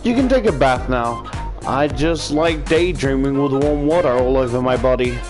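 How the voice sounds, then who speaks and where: A man's voice: mid-range voice, moderate pace, natural voice. A man with an Australian accent voicing a breezy, unbothered young woman from a visual novel. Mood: casual, unbothered. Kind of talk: visual novel dialogue read aloud. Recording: game music behind the voice.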